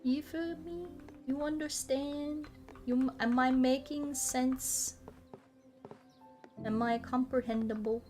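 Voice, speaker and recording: A woman's voice: high-pitched, steady tone, slow, darkish tone. A woman streamer chatting casually and enthusiastically, rambling off on tangents and swearing freely. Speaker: video game streamer. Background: background music.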